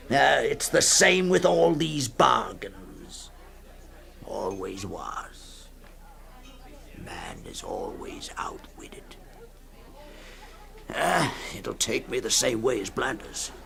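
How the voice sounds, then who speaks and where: A doomed, fatalistic drinker in a radio drama. His voice is deeply creaky and gravelly, swinging widely in pitch. He speaks haltingly, muttering and trailing off toward a whisper. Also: a bit bright, exaggerated voice. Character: gloomy, fatalistic drinking man, resigned to a cursed bargain.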